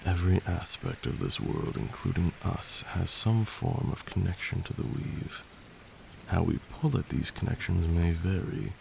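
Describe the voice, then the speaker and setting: Man playing a slow, gravelly vampire lord. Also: sits low, darkish tone, a little hiss or hum, heavily acted, low-quality recording. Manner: measured, formal, deliberate, teacherly. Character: male vampire lord, patient, stern, learned magic mentor. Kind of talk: ASMR roleplay monologue.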